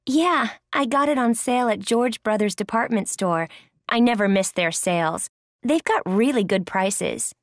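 A chatty, higher-voiced friendly woman. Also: expressive, measured pace, no room sound, studio quality. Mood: pleased. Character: chatty friendly woman making small talk. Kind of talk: scripted English listening-exercise dialogue.

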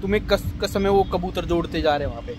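A man's voice: sits high, animated, fast, a little mellow. A man, hosting a casual comedic vlog with playful banter. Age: 20s. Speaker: vlog host. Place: open rooftop in a city. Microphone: phone at arm's length. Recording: noisy outdoor phone audio with traffic.

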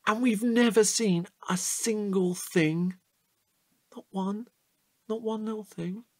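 A man acting a chatty, curious night-watch guard in a naturalistic audio drama, husky and halting in a playful way. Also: high voice, fairly even intonation, no echo. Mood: restless, wondering.

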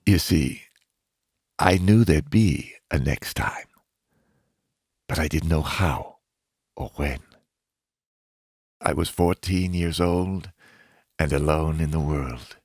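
An actor playing a bitter, self-loathing demolition derby driver, narrating a motion comic with brooding, theatrical, drawn-out phrasing. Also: male voice, a bass voice, a little mellow, dry recording, clean studio sound, full character voice. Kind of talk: dramatic first-person comic narration.

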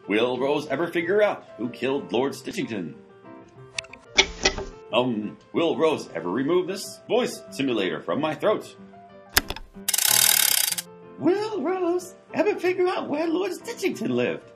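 A woman's voice: sits low, full character voice. Woman acting a wildly swinging serial announcer. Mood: portentous. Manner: portentous string of questions. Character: melodramatic serial announcer in silly voices. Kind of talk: old-time radio serial announcing.

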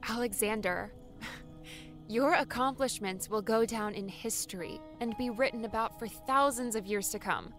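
A woman's voice: on the high side, unhurried, a bit bright, soft background music. A woman playing an earnest, encouraging young woman in a dramatised audiobook. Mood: earnest, reassuring. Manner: earnest, reassuring.

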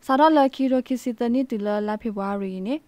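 Female news presenter, fairly high voice, scripted read, dry close sound. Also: lively intonation, fairly quick, a little mellow, no echo.

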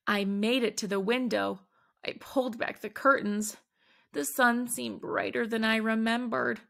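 Woman storyteller for a kids' read-aloud: slow, slightly gravelly, expressive. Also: fairly high pitch. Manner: animated, dramatic emphasis on exclamations. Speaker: children's book reader.

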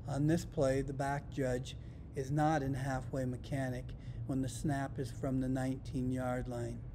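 A man's voice: little pitch movement, measured pace. An American man reading scripted instructional narration for a training video in a matter-of-fact way. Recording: rumbling background noise.

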